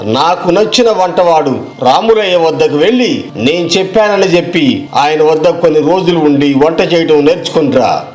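High-pitched cautious rich village businessman, man acting. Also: animated, moderate pace, darkish tone, natural voice. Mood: authoritative.

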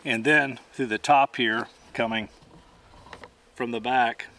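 A man's voice: mid-range voice, fairly even intonation, takes it slowly, pushed and bright. A middle-aged man giving methodical, plainspoken how-to instruction outdoors as he demonstrates gear. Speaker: host and demonstrator.

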